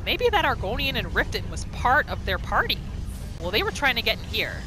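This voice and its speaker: A woman's voice: fairly high pitch, sing-song, brisk, noisy background. A woman giving reactive gameplay commentary, exclaiming and thinking aloud as she plays through a roleplay. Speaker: gamer narrating a roleplay playthrough.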